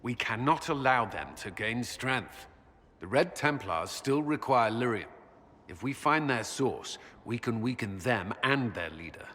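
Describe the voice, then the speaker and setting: A man's voice: medium pitch, expressive, measured pace, pushed and bright, long reverb tail. An actor playing a grave, earnest male military commander in a game, speaking firmly. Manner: grave and firm.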